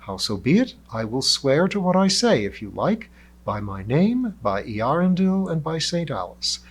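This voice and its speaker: A man's voice: fairly high pitch, sing-song, husky, pitched above the performer's own voice, full character voice. A man doing an ancient, formal elf. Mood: lecturing. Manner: measured, formal and lecturing. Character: ancient male elf, calm, formal and condescending.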